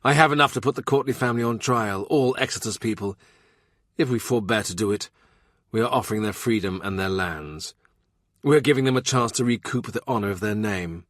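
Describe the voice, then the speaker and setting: A man's voice: lowish voice, a little fast, fairly bright tone, no room sound. Dry, close-recorded male audiobook narrator. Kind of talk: scripted literary narration.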